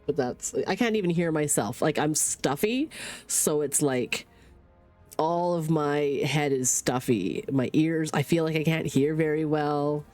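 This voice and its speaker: A woman's voice: deep voice, measured pace, darkish tone, faint music behind. A woman in her thirties streaming from home with a stuffy sinus cold, rambling casually, swearing and laughing.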